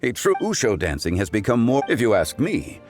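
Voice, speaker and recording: An actor voicing a composed, wise gentleman scholar in a video game, speaking in formal, measured, eloquent phrases. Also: lowish voice, wildly swooping pitch, faint music behind. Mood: courteous.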